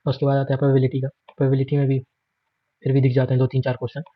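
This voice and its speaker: An Indian man speaks as an exam prep instructor in a quick, monotone delivery. He runs through lists in an informal, matter-of-fact way, dropping in English terms. The recording is slightly muffled and lo-fi. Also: medium pitch, no room sound. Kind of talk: informal screen walkthrough lesson.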